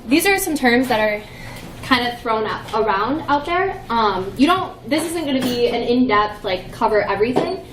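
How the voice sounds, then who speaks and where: A woman's voice: on the high side, a little hiss or hum. Playful young woman presenting in an echoey room. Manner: playful, chatty with many fillers. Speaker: conference presenter. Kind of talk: conference talk. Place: conference room.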